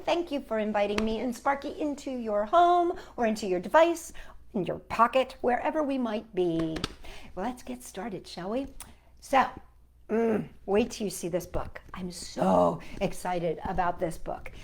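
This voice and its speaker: A woman's voice: on the high side, lively intonation, moderate pace, slight background noise. A woman hosting a children's storytime, chatting playfully and speaking directly to young children. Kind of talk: playful children's storytime with reading and sing-along.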